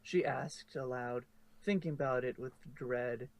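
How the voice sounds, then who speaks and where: A man's voice: medium pitch, measured pace. A man narrating a fan-written story aloud in a storybook style. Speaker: narrator of a fan fiction reading. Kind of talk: storybook narration with casual asides.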